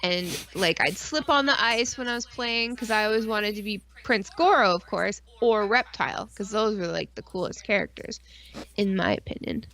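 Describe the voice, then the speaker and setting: A woman's voice: medium pitch, animated, normal speed, sharp tone. A woman co-hosting a casual live-stream chat, rambling with geeky enthusiasm, slang and frequent swearing. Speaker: podcast co-host.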